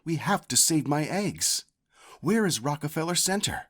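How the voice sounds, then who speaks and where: A man's voice: a little high, very animated, normal speed, fairly bright tone, voice pushed up. Man reading as a desperate, begging mother eagle. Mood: desperate, pleading. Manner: begging, earnest.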